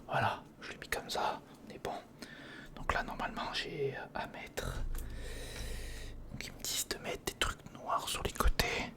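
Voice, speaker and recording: An ASMR creator whispering informal, step-by-step commentary to the viewer while building something by hand, close to the microphone. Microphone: close recorder or studio microphone.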